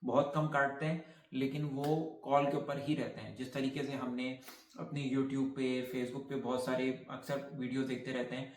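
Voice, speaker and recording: A man's voice: mid-range voice, little pitch movement, moderate pace. A man talking casually to viewers as a vlogger, emphatic and repetitive, mixing in English and answering his own questions. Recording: echoey room with faint background noise.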